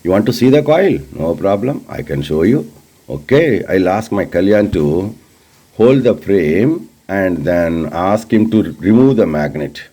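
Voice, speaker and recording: Indian male teacher, low and very mellow voice, explaining technical things informally, repeating himself for emphasis. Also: lively intonation, a little slow. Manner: addresses listeners directly, repeats phrases, asks rhetorical questions.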